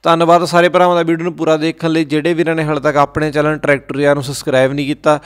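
A man with a Punjabi accent hosting a farm-machinery sales channel, briskly reading listings and repeating prices and phone numbers. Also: on the high side, level tone, clean tone. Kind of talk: classified sales rundown voice-over. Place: voice-over, not recorded at the pictured sites.

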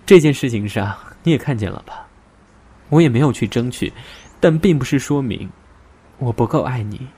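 An actor playing a reserved, wounded young man in an audio drama, speaking in a measured, resigned, serious way. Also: mid-range voice, animated, mellow, slightly rough. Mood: quietly firm. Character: reserved, wounded young man setting terms to a former lover. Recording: music behind the voice. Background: music.

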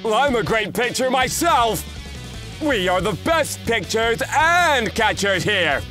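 A man's voice: very high-pitched, sing-song, slow, music in the background, exaggerated voice. A man voicing a frantic, boastful teenage rival in a manga dub, indignant and strained. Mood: outraged disbelief. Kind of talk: manga dub character acting.

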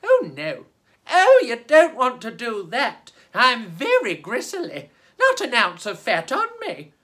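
Storyteller doing a sly girl goat, high and fluttery, begging the troll, big swoopy pitch. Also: huge pitch swings, takes it slowly, sharp tone, exaggerated voice. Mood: pleading, sly.

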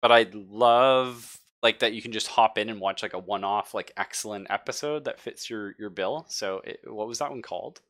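A man's voice: on the low side, normal speed, hardly any pauses, a bit bright. A man speaking casually and informally as game master of a tabletop game. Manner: informal, profane.

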